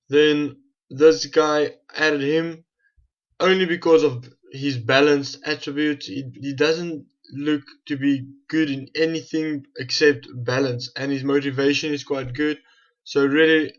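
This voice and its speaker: A dull, lo-fi recording of a South African man with an Afrikaans mother tongue. His mid-pitched voice stays flat and even as he talks casually through a game, hesitating and repeating himself as he goes. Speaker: gaming video host. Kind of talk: casual gameplay commentary. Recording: dull, muffled lo-fi home recording.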